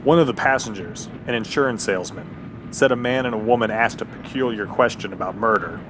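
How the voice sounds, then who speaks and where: An actor playing a stern, blunt train conductor in an Old West audio drama, gruff, authoritative and folksy. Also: male voice, a little fast, close to an everyday voice. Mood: businesslike. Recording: clear vehicle-like background rumble.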